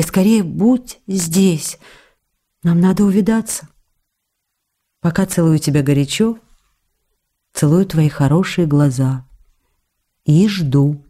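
Tender actress-lover in radio drama, low mellow voice, pleading letters, faint music behind. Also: female voice. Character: loving young actress writing longingly to her beloved. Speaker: letter reader.

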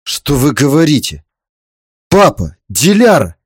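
Man acting an agitated young husband, raised pitch. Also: wildly swooping pitch, measured pace, slightly rough, no room sound, studio quality, heavily acted. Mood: confused. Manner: exclamatory, agitated. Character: young husband, hot-tempered, devoted to his father.

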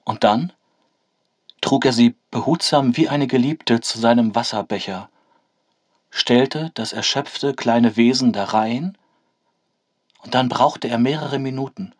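A man's voice: mid-range voice, measured pace, long pauses, no echo, natural voice. A man playing an earnest, melancholic young writer, reciting literary texts in a radio play. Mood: tender, reflective.

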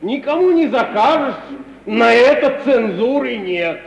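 An actor playing a sardonic, blunt provincial gentleman with a dry, teasing radio comedy delivery. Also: very high-pitched, animated, unhurried, creaky, roomy sound, exaggerated voice, poor audio. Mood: dryly skeptical. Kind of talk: 1950 Russian stage-comedy radio acting.

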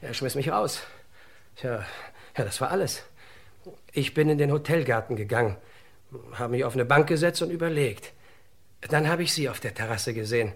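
An actor playing a desperate male murder suspect who pleads urgently and haltingly in a vintage crime radio play. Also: medium pitch, natural voice. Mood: anxious, explaining.

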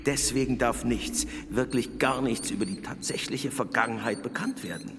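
An actor in a German radio drama playing a powerful, scheming bishop, smug and commanding, with deliberate delivery that slips into breathy, hushed tones. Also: male voice, medium pitch, slight background noise, natural voice. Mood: stern, impassioned. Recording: reverberant hall, loud music underneath.

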